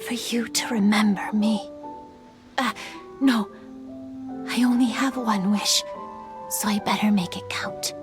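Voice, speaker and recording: A woman playing a gentle, wistful young female spirit in human form in an anime game, speaking softly, hesitantly and sincerely. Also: medium pitch, natural voice. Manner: soft, hesitant, sincere, with breathy pauses. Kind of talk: anime game voice acting, dramatic monologue. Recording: soft music behind the voice.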